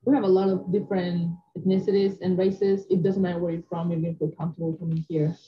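A young woman from Mexico talks about playing soccer and studying, relaxed and friendly, with a slightly low voice. The recording is a bit dull. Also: quick pace. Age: young adult. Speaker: student athlete. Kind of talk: documentary interview.

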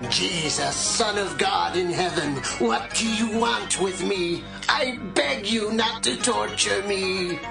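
An actor playing a tormented, pleading demon-possessed man in a Bible audio drama, with creaky, drawn-out cries. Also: medium pitch. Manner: slow, drawn-out, begging cries. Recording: loud music under the voice.

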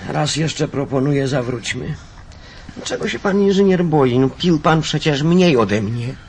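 A man's voice: a little high, expressive, a little slow, a little mellow, slight background noise. An actor playing an anxious, suspicious company chairman in a radio drama, insistent and argumentative. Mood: worried, accusing. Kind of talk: naturalistic Polish radio drama acting.

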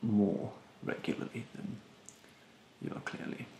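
A young man playing a rude, snobbish facial therapist in a soft-spoken, often whispered ASMR roleplay, with a haughty, halting delivery. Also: roomy sound, a little hiss or hum, close to an everyday voice. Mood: haughty, fussy. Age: young adult. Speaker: ASMR performer. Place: small bedroom, indoors. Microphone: close to the microphone.

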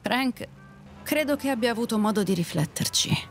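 A woman's voice: on the high side, expressive, normal speed, soft background music. A woman playing a blunt, discontented taxi passenger in an audio drama, direct and questioning with a cynical streak. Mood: bitter, frank. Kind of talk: Italian audio drama dialogue.

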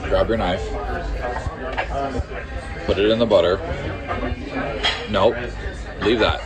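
A man's voice: on the low side, fairly even intonation, slow, highs cut off, music in the background, crowd noise. A man in his forties hosting a casual travel vlog, holding the camera at arm's length as he films himself in a diner and then outside it. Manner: upbeat, talks his mother through her meal step by step. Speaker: vlogger. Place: diner in an old train car, then outdoors by it. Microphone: handheld camera at arm's length.

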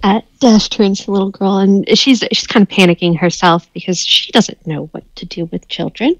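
A woman's voice: mid-range voice, moderate pace, no room sound, dull audio. Hesitant woman role-play player. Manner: hesitant, with fillers. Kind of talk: playing a tabletop role-play.

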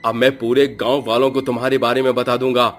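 A man's voice: medium pitch, level tone, fast talker, soft background music, natural voice. A man voicing an indignant, righteous village headman in a cartoon, scolding dramatically.

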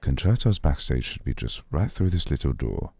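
An ancient vampire lord, acted by a man, speaks in an extremely low, husky rumble. He is slow, formal and seductive, with cool courtesy and a possessive edge. The ASMR roleplay is recorded dry and close. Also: sing-song, a little mellow, no echo, heavily acted. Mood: soothing, seductive. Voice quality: very deep, gravelly.

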